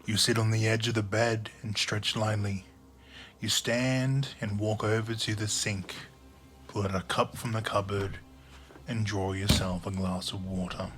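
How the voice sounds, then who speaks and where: Slow, low-voiced male storyteller. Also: level tone, a bit bright, soft background music. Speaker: narrator. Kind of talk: scripted second-person audio drama narration.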